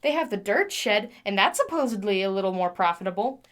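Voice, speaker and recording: A woman playing a chatty, gentle mechanic in an audio drama, rambling affectionately to a broken machine. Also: medium pitch, lively intonation, fairly quick, close to an everyday voice. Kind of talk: audio drama monologue, conversational.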